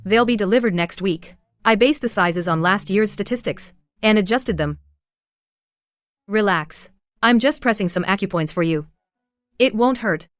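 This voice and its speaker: A woman's voice: medium pitch, normal speed, no room sound, natural voice. An actress playing a composed, shrewd young woman who talks in a cool, matter-of-fact way with dry humor. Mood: calm wry. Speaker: lead in a short drama. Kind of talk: dubbed drama acting.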